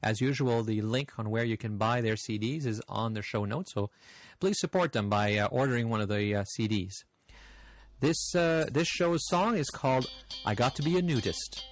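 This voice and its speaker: A man with a slight French accent who hosts a podcast, reading listener mail in easygoing, friendly chat with frequent pauses. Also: mid-range voice, animated, faint music behind. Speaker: podcast host.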